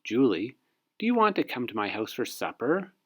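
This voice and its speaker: A man's voice: medium pitch, sing-song, moderate pace, breathy edge. Man as a friendly, easygoing young boy. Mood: inviting.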